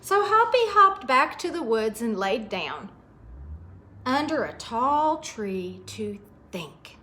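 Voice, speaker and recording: A woman's voice: a little high, big pitch swings, takes it slowly, pushed and bright. A woman storyteller reading a picture book aloud expressively to children, adding asides about the pictures.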